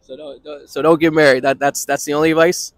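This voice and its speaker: Fast-talking man interviewing on the street. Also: fairly high pitch, little pitch movement, no room sound. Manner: quick-talking, repeats short affirmations. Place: outdoors on a city street. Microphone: handheld microphone.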